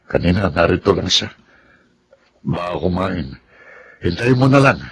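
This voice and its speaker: A man's voice: low-pitched, animated, a little slow, fairly bright tone, slight background noise, full character voice. An actor playing an indignant married man in a Filipino radio drama, emphatic and melodramatic, with a Filipino accent. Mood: grave, troubled. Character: indignant married man, accusing his seductive stepdaughter.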